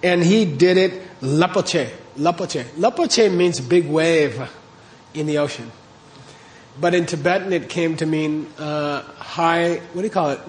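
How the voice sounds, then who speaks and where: A man's voice: on the high side, a little slow, a little hiss or hum. A man teaching a class, explaining terms step by step and checking in with his listeners. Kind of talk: classroom lecture on Tibetan texts.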